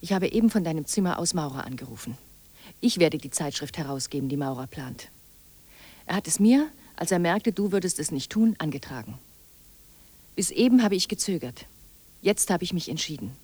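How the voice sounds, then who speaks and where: Husky, low-voiced reproachful wife. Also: female voice, lively intonation, brisk, darkish tone, no room sound. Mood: bitter, resolute. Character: disappointed, resolute wife writing to her husband. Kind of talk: radio drama letter monologue.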